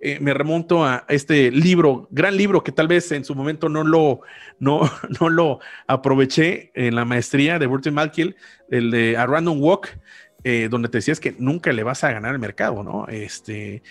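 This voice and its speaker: A man's voice: medium pitch, moderate pace. A man in his thirties with a Mexican accent, explaining things casually as a podcast host, often correcting himself as he goes, close on a studio microphone. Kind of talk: casual explanatory finance talk. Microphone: large studio microphone on a boom arm, close.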